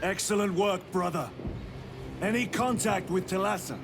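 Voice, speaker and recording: A man's voice: high voice, normal speed, heavy background noise. A man voicing a resolute, formal armored space soldier, with declarative military delivery in a dramatic video game.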